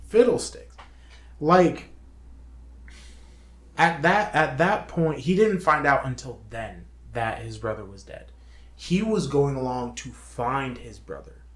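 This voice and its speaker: Slow-talking man in a roomy space. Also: mid-range voice, animated. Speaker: show co-host. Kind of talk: casual movie review discussion, partly reading from notes.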